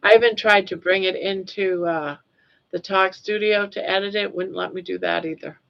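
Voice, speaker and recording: Older woman, from Maine, livestream host, chatty and rambling, gravelly, dull lo-fi audio. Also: mid-range voice, fairly even intonation, moderate pace. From Maine, USA. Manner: chatty, rambling, laughs easily. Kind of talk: casual live chat reading comments.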